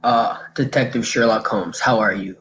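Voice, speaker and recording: An actor playing a self-assured French police inspector, formal and unctuous, in a radio drama. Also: male voice, on the low side, steady tone, moderate pace, fairly bright tone, lightly acted. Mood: suave.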